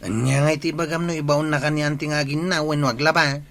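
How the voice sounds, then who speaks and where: A man's voice: fairly high pitch, fairly even intonation, fast, fairly bright tone. A man playing a cheeky, curious village nephew in an Ilocano Filipino comedy drama. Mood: teasing. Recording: clear background ambient noise.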